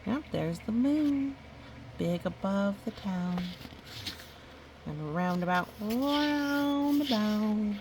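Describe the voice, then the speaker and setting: A woman reads a children's storybook aloud over background noise. Her pace is slow and her delivery gentle, at a middle pitch. She slips easily between the written text and chatty, wondering remarks to a young listener. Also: expressive. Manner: gentle, musing, chatty asides. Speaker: storybook reader. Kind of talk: read-aloud of a picture book with casual asides to a child listener.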